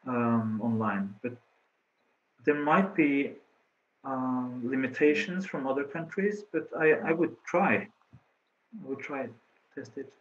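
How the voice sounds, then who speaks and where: A middle-aged man with a Norwegian accent, a researcher answering questions in an online talk, halting with many fillers and restarts. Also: medium pitch, a bit bright. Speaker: presenting researcher. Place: office room indoors. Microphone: laptop webcam on a video call. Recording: slightly dull video call audio.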